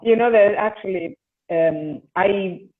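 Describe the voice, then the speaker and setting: A woman's voice: mid-range voice, slow. Chatty woman over a tinny call. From Italy. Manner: chatty, with frequent restarts. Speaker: host and singer. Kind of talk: casual video-call chat. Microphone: video call. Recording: tinny phone-like call audio.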